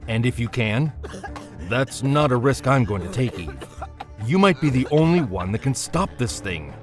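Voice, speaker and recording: An actor playing a firm, pragmatic crew member enforcing quarantine in an audio drama, speaking insistently. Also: male voice, mid-range voice, sing-song, moderate pace, lightly acted. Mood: firm, urgent. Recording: music under the voice.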